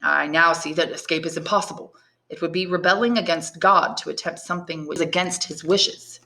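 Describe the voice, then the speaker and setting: A woman's voice: lowish voice, fairly even intonation, a little fast, pushed and bright. A woman voicing an elderly, learned imprisoned priest in an audiobook, speaking emphatically and articulately with bitterness and resignation. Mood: resigned. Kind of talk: dramatised audiobook reading of a classic novel.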